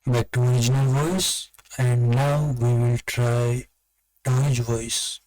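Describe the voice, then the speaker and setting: A man narrating a software tutorial step by step, in a plain, deliberate instructional way. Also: medium pitch, steady tone, low-quality recording. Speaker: software demonstrator.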